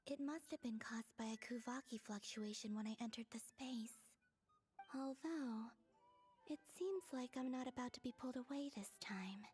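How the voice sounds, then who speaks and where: A woman voicing a gentle, anxious young woman in a video game, earnest and careful, in hushed breathy tones as if talking to herself. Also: a little high, normal speed, natural voice. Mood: cautious, curious. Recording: music behind the voice.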